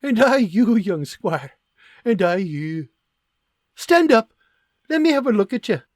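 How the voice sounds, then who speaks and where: A man voicing a fiery, loving farm mother in an audiobook, shrill and scolding. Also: very animated, a little slow, darkish tone, no room sound, studio quality. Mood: tearful. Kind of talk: audiobook character voice.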